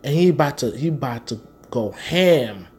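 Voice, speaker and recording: A man's voice: mid-range voice, expressive, moderate pace, darkish tone. An American man delivering a fervent, informal sermon in a confrontational, slangy manner, repeating phrases for stress. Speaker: street-style preacher and Bible teacher.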